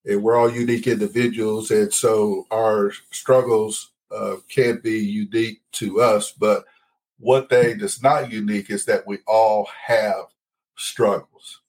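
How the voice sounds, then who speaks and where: A man's voice: fairly low pitch, a little mellow, rough recording. A man hosting a parenting podcast, interviewing a guest in a friendly, familiar way. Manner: friendly, calls guest brother. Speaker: podcast host.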